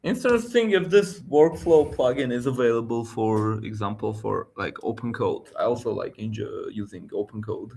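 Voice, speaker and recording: A man's voice: mid-range voice, lively intonation, normal speed, gravelly, hardly any pauses, a little mellow. A man whose first language is Armenian, hosting a casual tech livestream chat, laughing between points and restarting his sentences. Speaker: livestream host.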